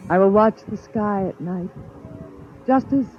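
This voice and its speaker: An actress playing a gentle artificial wife who is newly feeling emotion, in a measured, solemn 1950s radio drama style. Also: female voice, medium pitch, close to an everyday voice. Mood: tender, hopeful. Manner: measured, hushed, solemn delivery. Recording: muffled vintage radio recording with music.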